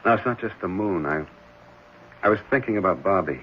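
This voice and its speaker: An actor playing a gentle, earnest married foster father in a 1970s radio drama, speaking hesitantly and reassuringly. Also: low-pitched, animated, fairly quick. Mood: pensive. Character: gentle, caring married man fostering an orphan boy. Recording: muffled, noisy old radio broadcast.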